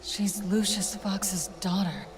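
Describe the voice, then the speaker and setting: An actress playing a cool, sharp thief out for vengeance in a video game, terse and accusing. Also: female voice, mid-range voice, takes it slowly, a little hiss or hum, close to an everyday voice.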